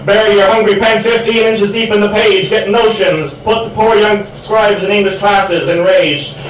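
Guy doing sweary emphatic slam poetry, highish voice, really echoey and far from the mic. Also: fairly even intonation, normal speed. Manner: emphatic, profane delivery. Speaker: poet. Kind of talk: spoken word poetry performance. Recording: echoey room, far mic, faint noise.

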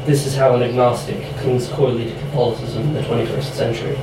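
Even-toned young man, very echoey room. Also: mid-range voice, fast. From United States. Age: young adult. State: getting over a chest infection, voice going. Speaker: poet. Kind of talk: reading own poem aloud at a live event. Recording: very echoey room, distant mic, clear noise.

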